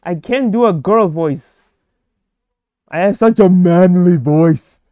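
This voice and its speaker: A man's voice: high voice, takes it slowly, dry recording, phone-quality sound. Joking young man playing a game. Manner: joking, playful, repeats words. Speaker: gaming video creator. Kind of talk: casual let's play commentary.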